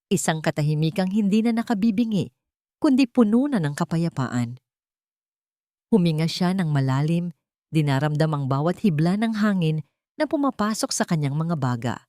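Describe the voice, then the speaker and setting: A woman reading a scripted romance drama as narrator, in a measured, solemn storytelling manner. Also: on the low side, mellow, no room sound, studio quality.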